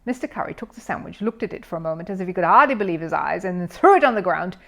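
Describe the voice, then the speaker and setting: A woman's voice: lowish voice, lively intonation, very fast, vocal fry. Woman narrating a children's story expressively. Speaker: audiobook narrator.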